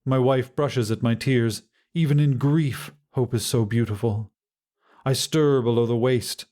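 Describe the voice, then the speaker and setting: A man narrating first-person fiction in a measured, solemn and dramatic way. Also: mid-range voice, mellow. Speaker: audiobook narrator.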